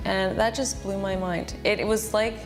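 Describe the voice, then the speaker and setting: A woman's voice: mid-range voice, steady tone, normal speed. A woman giving a personal testimony, recounting events in an earnest, plain-spoken way. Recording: music bed under the voice. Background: background music.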